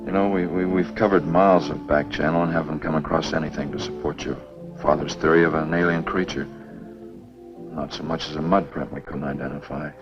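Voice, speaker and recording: An actor playing a sober, skeptical American investigator in a 1950s B-movie, speaking in a serious, measured way. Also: male voice, a bass voice, steady tone. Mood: weary, doubtful. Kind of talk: 1950s B-movie film acting. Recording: dull 1950s film soundtrack with music.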